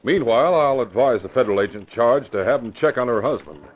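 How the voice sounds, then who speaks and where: A man's voice: mid-range voice, animated, quick pace, lightly acted. An American man playing a cautious, earnest police commissioner in an old-time radio mystery, official and explanatory. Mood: firm. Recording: dull vintage radio recording.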